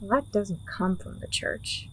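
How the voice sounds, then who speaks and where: A woman's voice: mid-range voice, slow, a bit bright, slightly rough, full character voice, rough recording. Woman playing a jaded fantasy underworld woman, blunt n wry, teases a lot, audio drama style. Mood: firm, persuasive. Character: world-weary, teasing, pragmatic woman working for a crime boss.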